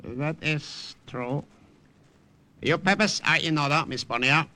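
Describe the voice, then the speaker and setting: An actor playing a stern Japanese army captain in a 1950s radio drama, commanding in clipped, broken English. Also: male voice, a little high, measured pace, bright, forceful tone. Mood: officious. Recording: noisy old radio recording.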